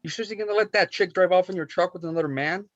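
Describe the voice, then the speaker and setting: An actor at a table read playing a scowling, exasperated young bar buddy with a sardonic manner. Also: male voice, high voice, rapid-fire, no echo, lightly acted. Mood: sarcastic. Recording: dry, slightly muffled sound.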